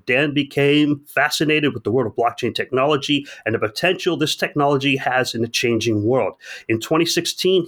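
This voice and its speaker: Podcast host with a friendly, relaxed delivery. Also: male voice, medium pitch. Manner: reads the guest intro, then chats loosely. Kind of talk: casual podcast hosting.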